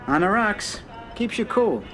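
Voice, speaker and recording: A man's voice: high voice, big pitch swings, measured pace, fairly bright tone, a little hiss or hum, heavily acted. An actor playing an unsure, eager-to-please man in a mafia family, in a video game cutscene. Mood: ingratiating.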